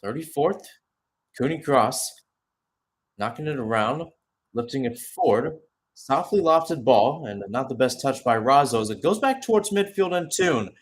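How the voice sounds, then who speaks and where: A man's voice: mid-range voice, lively intonation, measured pace. A man doing live radio-style soccer commentary as the play-by-play announcer, narrating in short clipped phrases.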